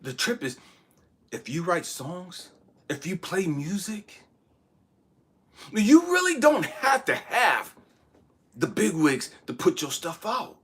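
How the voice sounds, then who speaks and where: Man, blunt profane motivational rant, bright high voice, husky edge. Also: sing-song, slow, long pauses. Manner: blunt, profane, preachy, swings between shouting and hushed asides. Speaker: motivational speaker. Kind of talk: impassioned motivational monologue.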